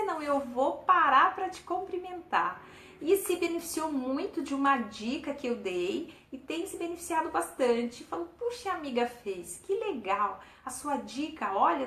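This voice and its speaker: Woman nutritionist, chatty live host, high voice, echoey room. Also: a bit bright. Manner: chatty, repetitive, greets viewers by name. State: losing her voice. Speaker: nutritionist hosting a weekly live stream. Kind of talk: casual live-stream talk to followers.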